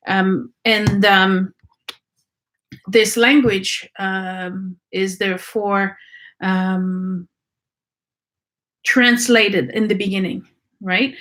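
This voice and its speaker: Lady teaching a class, lots of ums and restarts, middle pitched voice. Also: a bit bright. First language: French. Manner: searching, many ums and restarts. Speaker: energy work teacher. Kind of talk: unscripted teaching talk.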